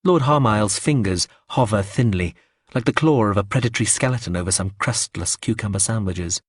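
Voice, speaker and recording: A man narrating a first-person story aloud in a measured, wry way. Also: fairly low pitch, husky, dry recording, clean studio sound.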